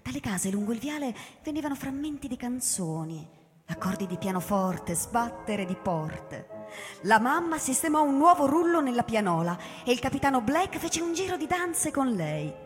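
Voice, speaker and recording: A woman's voice: a little high, very animated, normal speed. A woman narrating a radio drama as dramatic scripted storytelling. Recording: reverberant, music underneath.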